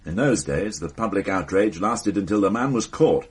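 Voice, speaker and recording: An actor playing a dry, wry police inspector in a radio drama. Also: male voice, sits low, lively intonation, normal speed, husky, heavily acted.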